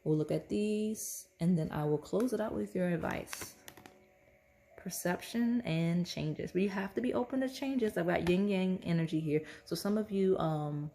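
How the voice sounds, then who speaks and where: A woman talks through a pick-a-card tarot reading in a loose, chatty way and keeps checking in with "okay?" Her voice sits a little low. It is hoarse and has a slight rasp, as if she is losing it. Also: normal speed, warm tone, slight background noise. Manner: chatty, repeats "okay?" often. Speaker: tarot reader.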